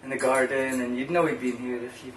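A man's voice: mid-range voice, flat delivery, brisk, a bit bright, slight background noise. A young man with an Aberdeen Scottish accent, co-hosting an easygoing cigar chat with cheeky banter. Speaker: co-host. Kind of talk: casual chat. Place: home bar room, indoors. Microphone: camera mic at table distance. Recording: roomy, distant camera mic, music.

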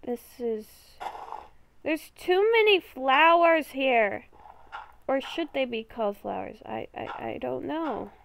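A woman giving casual gameplay commentary, talking to herself in short, halting phrases. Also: sits high, lively intonation, vocal fry, fairly bright tone, a little hiss or hum. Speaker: gamer recording a roleplay series.

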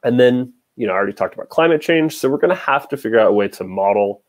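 A man's voice: mid-range voice, animated, quick pace. A young American man from Nevada giving an informal slide lecture as a scientist over a video call, explaining by posing questions. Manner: explanatory, poses questions and answers them. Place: indoor room, video call.

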